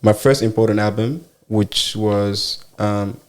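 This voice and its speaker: Low-voiced man pausing with fillers. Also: steady tone. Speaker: musician guest. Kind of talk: casual interview talk.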